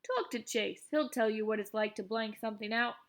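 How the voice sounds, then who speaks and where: A crabby old war veteran from a storybook played by a woman reader. He barks his words in a gruff, dismissive manner, sounding like someone who wants to be left alone. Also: fairly high pitch, fairly quick, close to an everyday voice. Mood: irritated. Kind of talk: storybook character voice.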